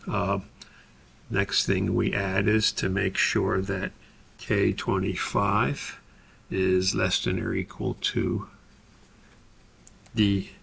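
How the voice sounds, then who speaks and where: A man giving a methodical, step-by-step software tutorial as an instructor, explaining in a somewhat hesitant way. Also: lowish voice, flat delivery, slow, creaky, long pauses, breathy edge.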